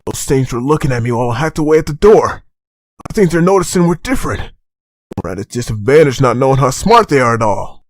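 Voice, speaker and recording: A man's voice: mid-range voice, very animated, fast talker, dry recording, studio quality. A man playing a determined zombie-apocalypse survivor leader in a dramatic comic dub. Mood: tense, hushed urgency.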